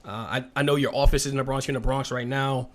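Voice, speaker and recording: A man's voice: medium pitch, fairly even intonation, fast talker. Encouraging male podcast host. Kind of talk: casual podcast interview.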